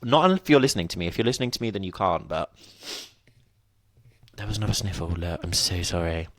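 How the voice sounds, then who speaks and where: A man's voice: sits low, fast talker, a bit bright. A man, chatting casually and rambling as a podcast host, with a sniffly nose. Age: 20s. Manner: chatty, rambling, self-mocking asides. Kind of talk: casual solo podcast chat. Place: at home, indoors.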